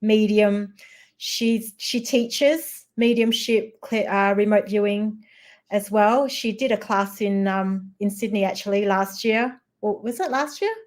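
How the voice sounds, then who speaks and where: A woman's voice: medium pitch, measured pace. A middle-aged woman from Singapore, a guest in a casual chat, talking hesitantly with many fillers and restarts.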